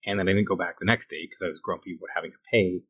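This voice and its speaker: A man's voice: low-pitched, fairly even intonation, very fast, fairly bright tone, slightly rough. A man co-hosting a conversational podcast, with an understated, dry manner. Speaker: podcast co-host.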